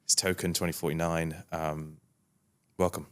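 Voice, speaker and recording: A man's voice: deep voice, flat delivery, normal speed, a bit bright. A man hosting a podcast, chatting with his guest in an informal, matey interview style. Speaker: podcast host. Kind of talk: casual interview chat.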